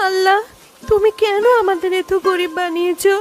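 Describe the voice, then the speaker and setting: A woman's voice: very high-pitched, fairly even intonation, normal speed. A woman voicing a poor, anxious young village mother in a cartoon, with a plaintive, pleading delivery. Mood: worried. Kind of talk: cartoon dialogue. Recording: music behind the voice.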